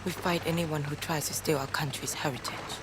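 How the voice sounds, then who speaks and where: A woman's voice: low voice, fairly even intonation, fairly quick, music in the background, natural voice. An actress playing a proud, defiant Thai woman who fights as a rebel in a video game. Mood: defiant, brisk.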